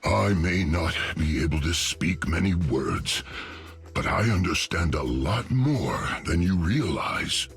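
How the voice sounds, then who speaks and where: Very deep, slow, gravelly tree creature. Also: male voice, expressive, soft background music, exaggerated voice. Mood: earnest, polite. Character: big gentle tree creature, earnest, chatty. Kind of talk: video game character dialogue.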